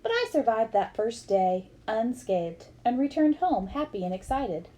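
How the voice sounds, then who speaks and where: Mellow-voiced woman reading a book aloud. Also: a little high, big pitch swings, measured pace. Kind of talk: scripted audiobook-style narration of a memoir.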